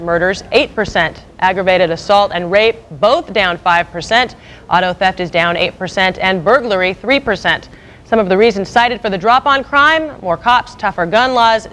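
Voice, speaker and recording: A woman's voice: medium pitch, fairly bright tone, a little hiss or hum. An American woman anchoring scripted local TV news with a wry, punchy, irreverent delivery.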